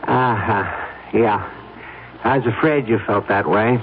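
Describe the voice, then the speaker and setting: An actor playing a calm, quietly menacing city man in a radio drama. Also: fairly low pitch, unhurried. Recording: muffled old radio, music behind.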